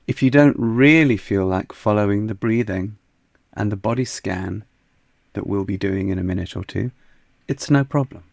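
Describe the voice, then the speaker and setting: A man guiding a yoga nidra meditation in a soft, soothing, unhurried manner that sometimes sinks almost to a whisper. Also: on the low side, gravelly, dry recording. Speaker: meditation guide.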